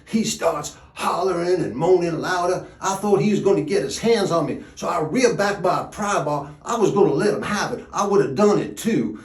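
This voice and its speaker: A man's voice: mid-range voice, a little mellow, natural voice. Guy playing an old-timey folksy gravedigger telling a yarn, takes his time, echoey room. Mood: indignant. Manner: drawling folksy dialect, dramatic pauses. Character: folksy rural gravedigger spinning a yarn, wry and plainspoken. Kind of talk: theatrical storytelling monologue.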